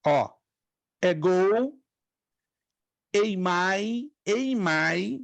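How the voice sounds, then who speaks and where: A middle-aged man whose first languages are Spanish and Hebrew leads a class, repeating each word so students can echo it. His voice sits fairly high for a man. The sound is close and dry, with no room around it. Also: animated, extremely slow, creaky, muffled recording. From Brazil. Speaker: theology teacher. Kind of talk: online class lecture.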